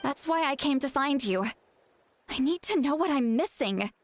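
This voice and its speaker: A woman playing an earnest, thoughtful young female mystic in a video game, speaking in a measured, serious way. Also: high-pitched, expressive, a little hiss or hum. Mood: concerned. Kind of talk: English video game voice acting.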